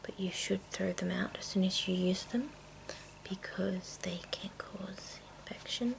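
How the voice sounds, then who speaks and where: A woman hosting an ASMR video in a breathy whisper, chatting casually and playfully as she shows off her things. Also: mid-range voice, fairly even intonation, measured pace. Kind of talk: whispered ASMR, casual show-and-tell of makeup tools.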